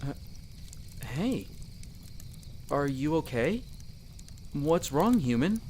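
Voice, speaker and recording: A performer voicing a young male elf explorer in a roleplay audio drama, patiently and gently coaching the listener as a magic teacher. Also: mid-range voice, big pitch swings, very slow, slight background noise. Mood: reassuring. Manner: gentle, reassuring, coaching step by step.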